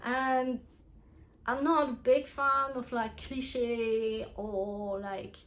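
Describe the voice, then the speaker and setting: A woman's voice: on the high side, fairly even intonation, very slow, a bit bright. A young woman with a French accent, a native French speaker, reviewing books in a casual, frank vlog, laughing often and restarting her sentences. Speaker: book reviewer.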